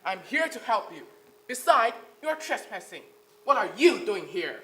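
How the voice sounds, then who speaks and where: A woman's voice: medium pitch, sing-song, measured pace, a bit bright, low-quality recording. A female performer voicing a big burly man, mocking but kindly, in a dramatic readers theater style. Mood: mocking, stern.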